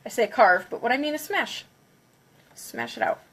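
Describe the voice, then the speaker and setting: A woman's voice: fairly high pitch, wildly swooping pitch, moderate pace, a bit bright, slightly rough. A congested woman chatting casually on a livestream, counting down before smashing plates. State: congested from a cold. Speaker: craft livestreamer.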